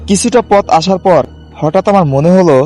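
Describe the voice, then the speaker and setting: A man's voice: high voice, a little fast, darkish tone, faint music behind. A man acting a troubled village doctor who narrates his own ghost story in a scripted, suspenseful horror style. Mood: grave, suspenseful.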